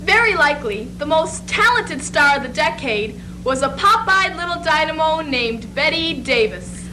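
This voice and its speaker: A woman's voice: sits high, lively intonation, measured pace. A young woman giving theatrical scripted narration in readers theatre, on a noisy stage recording. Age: young adult.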